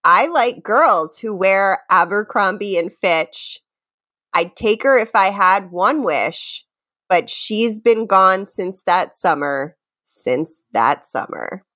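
A woman podcast host with a very lively, swooping voice and an unhurried pace. She slips between mock-dramatic reading and casual banter, and she is prone to giggling. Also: mid-range voice. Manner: giggly, often breaking into laughter.

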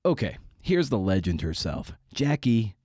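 A man's voice: fairly low pitch, very animated, measured pace. A man hosting his podcast, chatting casually and wryly through plugs and the episode intro with self-deprecating asides. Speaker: podcast host.

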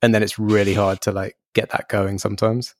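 Man, podcast host, casual interview, chatty, laughs easily, deeper voice. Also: flat delivery, a little fast, no room sound, clean studio sound.

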